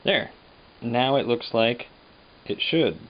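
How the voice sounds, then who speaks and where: A man casually explaining guitar repair work in a halting, unscripted, plain-spoken way, as a craftsman hosting his own demonstration. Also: medium pitch. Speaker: guitar repairer and restorer, host. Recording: dull lo-fi sound with hum and noise.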